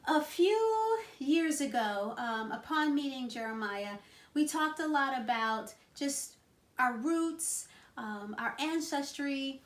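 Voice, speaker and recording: A woman's voice: sits high, expressive, slow pace. A woman in her thirties chatting earnestly in a home vlog, with frequent ums and restarts. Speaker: vlogger and wife. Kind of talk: casual home vlog chat. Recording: echoey room.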